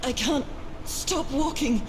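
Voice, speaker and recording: A woman's voice: high voice, slow, noisy background. An actress playing a 1930s woman exploring a strange island, given to startled, breathless exclamations. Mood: bewildered. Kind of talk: video game voice acting.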